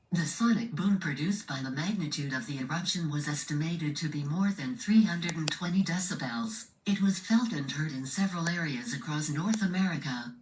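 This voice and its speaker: A low-voiced woman delivers an official emergency announcement. Her reading is measured and impersonal, with a roomy echo around every phrase. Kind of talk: formal scripted emergency broadcast announcement.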